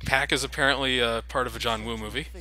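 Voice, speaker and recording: A man's voice: medium pitch, steady tone, fast, pushed and bright, poor audio. A young man casually commenting over game cutscenes, joking and critical of the game as the host. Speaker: let's play host.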